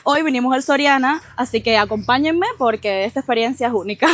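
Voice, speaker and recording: A woman's voice: high-pitched, brisk, a bit bright, slight background noise. A woman with a Cuban accent hosting a vlog, chatting casually and expressively to the camera. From Cuba. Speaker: vlog host.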